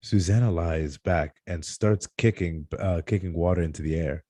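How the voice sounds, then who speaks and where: A man's voice: low voice, normal speed, dark tone, no room sound, studio quality. A man reading screenplay stage directions aloud, sometimes stumbling over a word and correcting himself. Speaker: reader of stage directions at a script table read. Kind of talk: scripted narration read aloud live.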